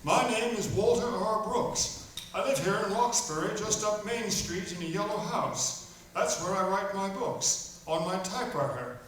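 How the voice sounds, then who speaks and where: A man's voice: sits high, a little slow, a bit bright. An actor playing a folksy children's author who narrates his story to kids on stage with playful, mock-serious asides. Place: live stage performance in a hall. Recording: echoey hall from far off, faint noise.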